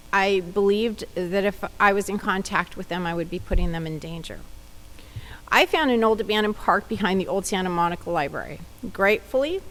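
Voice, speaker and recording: A woman's voice: medium pitch, a bit bright, low-quality recording. A woman community advocate giving plain personal testimony at a public hearing, telling her story in the first person. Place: government hearing room.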